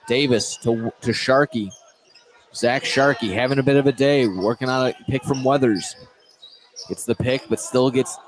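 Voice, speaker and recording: A man calling a live high school basketball game on radio, excitable and correcting himself as he goes. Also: background noise. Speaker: play-by-play basketball commentator. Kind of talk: live sports radio play-by-play.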